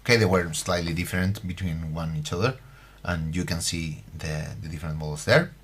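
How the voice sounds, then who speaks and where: A man from Spain, speaking English with a Spanish accent, hosts a model-building tutorial in a halting way with many fillers. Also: low-pitched, fairly bright tone, a little hiss or hum. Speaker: scale model hobby host. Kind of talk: unboxing tutorial.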